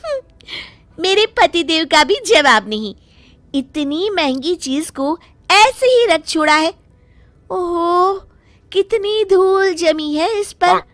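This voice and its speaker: Woman playing a high, bright naive young wife. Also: lively intonation, takes it slowly, no echo, heavily acted. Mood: earnest, cheerful. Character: naive young village wife, earnest and wronged. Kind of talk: animated moral story dialogue.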